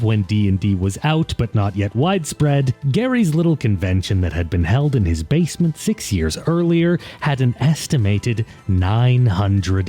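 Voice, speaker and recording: A man's voice: medium pitch, warm tone. A man narrating a scripted video essay in a conversational, wry way, as the host of the show.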